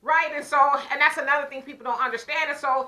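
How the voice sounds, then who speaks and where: A woman's voice: high-pitched, quick pace. A woman co-hosting a casual talk show, animatedly telling a story and acting out both sides of a conversation. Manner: animated storyteller who acts out her own side of conversations. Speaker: podcast co-host. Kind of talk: casual chat.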